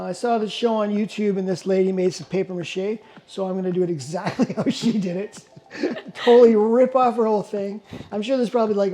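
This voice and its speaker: Woman, mellow tone, playful and jokey, casual craft show host, faint music behind. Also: medium pitch. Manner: jokey, playful, repeats phrases. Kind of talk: casual, unscripted craft demonstration.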